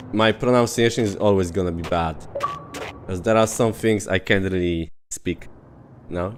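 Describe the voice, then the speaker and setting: A man in his thirties with a Polish accent, chatting loosely with viewers and swearing at the game as he streams. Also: low-pitched. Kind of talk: casual livestream chat over gameplay. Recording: noisy game audio underneath. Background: game gunfire and ambience.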